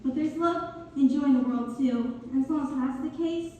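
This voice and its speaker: A woman's voice: high-pitched, moderate pace, warm tone, lightly acted. A woman playing a polite, hopeful, sincere young local in a community theater read-through. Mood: fond, hopeful. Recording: echoey hall from far off, faint noise.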